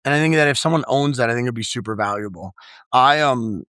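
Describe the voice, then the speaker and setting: A man's voice: mid-range voice, quick pace, a bit bright, no room sound, studio quality. A young man hosting a casual podcast conversation, talking in an enthusiastic, chatty way with lots of fillers. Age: young adult. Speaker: podcast host. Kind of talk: casual chat.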